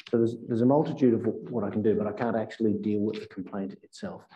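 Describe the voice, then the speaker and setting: A man in his fifties giving careful, halting formal testimony as a witness at a hearing. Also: fairly low pitch, steady tone, mellow, dull audio. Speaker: government inspector giving evidence as witness. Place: indoor hearing room, seated at a table. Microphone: table microphone.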